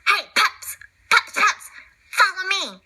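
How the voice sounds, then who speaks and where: A woman's voice: very high-pitched, animated, slow, pushed and bright, pitched above the performer's own voice, full character voice. A woman voicing a small rescuer cat in a children's story, urgent and repetitive, with animal noises. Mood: urgent coaxing.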